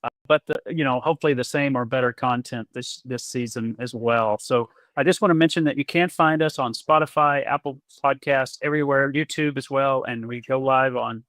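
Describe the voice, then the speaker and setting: A man's voice: medium pitch, steady tone, fairly quick, fairly bright tone, no room sound. A man hosting a podcast, talking casually and rambling a little with frequent restarts. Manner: rambling, friendly, many restarts. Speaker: podcast host. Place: Texas.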